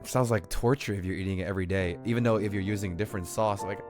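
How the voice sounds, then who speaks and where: A young man doing casual, slangy, expressive live commentary as he streams a game. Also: low voice, quick pace, music in the background.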